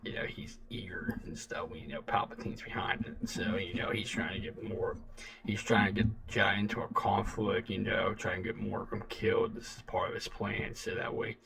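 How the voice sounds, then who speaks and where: A man gives casual reaction commentary. His voice is low with noticeable fry, and he rambles in loose, repeating phrases, sounding a little away from the microphone. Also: flat delivery, a bit bright, distant mic, poor audio. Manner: halting, repetitive, loose phrasing. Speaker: reaction video host.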